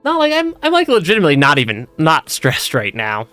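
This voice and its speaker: A man with a bright, tense voice that swings widely in pitch while he streams a game. His voice is going hoarse and breaks now and then, and he giggles and stammers through his casual commentary. Music plays faintly behind him. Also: huge pitch swings, brisk, no room sound. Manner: giggly, laughs often, stammers and repeats words. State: losing his voice, voice cutting out.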